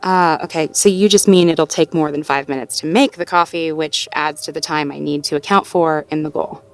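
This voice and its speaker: A woman's voice: low voice, fairly even intonation, normal speed, soft background music. A woman playing a sweet, self-doubting, playfully wry girlfriend in an intimate audio roleplay, talking casually and conversationally with a partner. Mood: wry, conceding. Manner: conversational, casual, with sighs and soft chuckles. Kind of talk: intimate slice-of-life audio roleplay acting, one-sided conversation.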